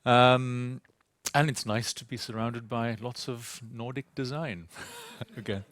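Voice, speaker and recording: A man with a British accent giving a conference panel talk, hesitant and halting, often repeating words and pausing on "uh". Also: mid-range voice, steady tone, a bit bright. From Sri Lanka. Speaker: panel speaker. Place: conference venue.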